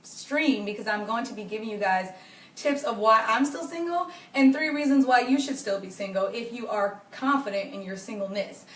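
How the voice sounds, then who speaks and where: Woman, hoarse and raspy, higher voice, a bit off-mic in a roomy home. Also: moderate pace, far from the mic. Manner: chatty, earnest, addresses viewers directly. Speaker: live-stream host. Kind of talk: casual faith-based advice talk to viewers. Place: home living room. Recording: echoey room, voice off-mic.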